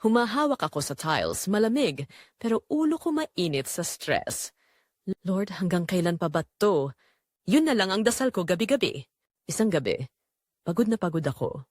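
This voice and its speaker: A woman's voice: mid-range voice, very animated, normal speed, pauses often, dry recording, studio quality. A woman with a Filipino accent playing a weary, anguished migrant-worker mother, telling her story in an emotive, confiding audio drama narration. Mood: weary, pained.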